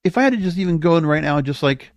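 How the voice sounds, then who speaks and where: A man's voice: fairly high pitch, expressive, very fast, no echo, studio quality. A man hosting a solo podcast, giving opinionated commentary in an emphatic, rambling way and often repeating himself. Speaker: podcast host.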